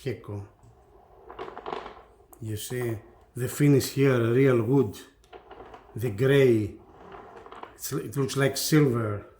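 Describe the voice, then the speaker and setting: A man with non-native English gives a casual product demonstration at a slow pace. He breaks his talk into short, halting phrases, and some background noise can be heard around his mid-pitched voice. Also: a little mellow. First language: not English. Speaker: audio product reviewer and unboxing presenter.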